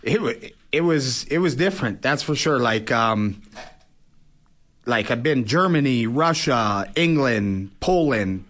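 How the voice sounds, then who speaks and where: A man's voice: mid-range voice, animated, measured pace, a bit bright. A man speaks as an athlete guest in a casual interview, conversational and often tagging phrases with "you know" and "right?". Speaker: guest, professional boxer.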